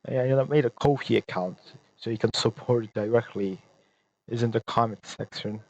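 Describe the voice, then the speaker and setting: A man speaking casually and a little haltingly to his viewers as an amateur creator, on a recording with a buzz. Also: mid-range voice, darkish tone, dry recording, dull audio. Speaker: amateur voice actor and video creator. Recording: buzzing noise.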